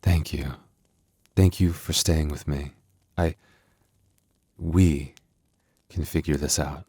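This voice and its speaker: A man's voice: extremely low pitch, fairly even intonation, slow pace, mellow, slightly rough, dry recording, heavily acted. A man playing a young werewolf boyfriend, tender but protective with a tough edge, in an intimate ASMR roleplay. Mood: tender, devoted.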